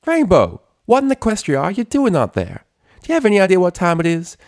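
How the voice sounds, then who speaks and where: A man's voice: sits high, huge pitch swings, a little fast, a little mellow, pitched above the performer's own voice, exaggerated voice. A man voicing a feisty, bossy but friendly country farm mare from a pony cartoon, folksy and emphatic. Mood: scolding.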